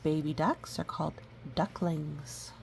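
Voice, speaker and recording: A woman reading a storybook aloud gently and adding educational asides. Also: low voice, fairly even intonation, slow pace, creaky. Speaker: storybook reader. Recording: faint background noise.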